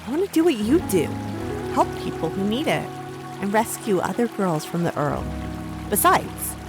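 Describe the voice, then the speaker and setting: Spirited young woman for fantasy audio drama: brave, defiant, determined, slightly low voice, prominent music bed. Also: sing-song, moderate pace. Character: brave young woman, defiant rescued runaway turned gang storyteller. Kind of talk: full-cast fantasy audio drama acting.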